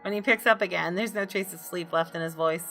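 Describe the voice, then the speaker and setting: A woman's voice: fairly low pitch, brisk, vocal fry, bright, forceful tone, soft background music. A woman gamer reading visual novel narration aloud expressively, with her own asides. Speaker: gaming video host.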